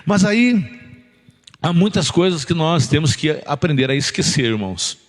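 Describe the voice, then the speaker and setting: A middle-aged man with a Brazilian accent and a fairly high voice preaches in a loose, conversational style. He is jocular and punctuates his points with short tag questions. The sound carries the long reverb of a large hall. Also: big pitch swings, normal speed. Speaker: pastor preaching. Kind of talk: informal sermon with anecdotes. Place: church building, preaching to a congregation.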